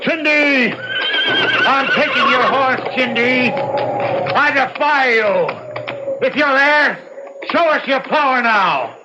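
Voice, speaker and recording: An actor playing an insistent, protective, skeptical husband in an old-time radio drama, speaking emphatically. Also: male voice, very high-pitched, huge pitch swings, slow, pauses often, exaggerated voice. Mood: defiant. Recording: noisy, muffled old radio recording.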